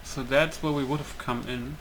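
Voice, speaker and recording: A man giving casual, low-key let's play commentary, musing aloud as he plays. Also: fairly even intonation, normal speed, background noise. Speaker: gamer, let's play host.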